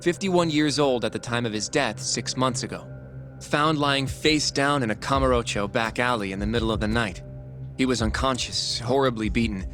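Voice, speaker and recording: A man's voice: medium pitch, normal speed, fairly bright tone, natural voice. Earnest, matter-of-fact male assistant played by an actor. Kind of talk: naturalistic video game cutscene acting. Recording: faint music behind the voice. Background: faint background music.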